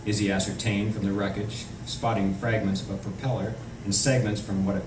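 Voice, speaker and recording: A man's voice: lowish voice, flat delivery, brisk. A man, an author, reading narrative prose aloud from his novel at a live indoor event. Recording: echoey noisy room, distant microphone.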